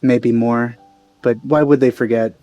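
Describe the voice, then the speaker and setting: A man giving casual gameplay commentary, hesitant and rambling as he thinks out loud. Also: mid-range voice, fairly even intonation, unhurried, highs cut off. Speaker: let's player. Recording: game music behind voice. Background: video game music.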